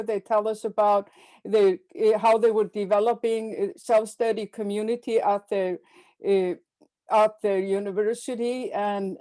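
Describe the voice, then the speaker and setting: A woman with a mellow, soft-edged voice walks through book chapters at an online academic event. She speaks haltingly, repeating words and adding uhs, heard over a video call. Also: medium pitch. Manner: halting, frequent fillers and repeats. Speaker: webinar presenter introducing book chapters. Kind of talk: presenting slides at a webinar.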